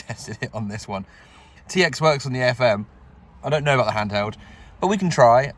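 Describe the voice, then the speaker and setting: A man presenting a casual amateur radio vlog, recorded on a phone in and around a vehicle. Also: medium pitch. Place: outdoors and in a vehicle. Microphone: phone camera.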